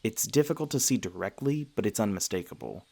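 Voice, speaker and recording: A low-pitched, soft-hearted male vampire, played by a man, speaks closely to one listener in an ASMR roleplay. He is earnest and self-conscious, often hesitating or stammering as he gently explains himself. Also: animated, fairly quick, gravelly, slightly rough, exaggerated voice. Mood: warm, admiring. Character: gentle, self-conscious male vampire, century old but youthful, sweetly flustered.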